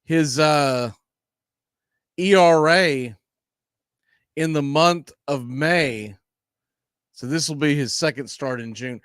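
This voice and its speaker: A man's voice: on the high side, slow, pauses often, fairly bright tone, smooth, dry recording, clean studio sound. A man hosting a baseball pregame show, talking through stats and matchups off the cuff. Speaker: sports show host.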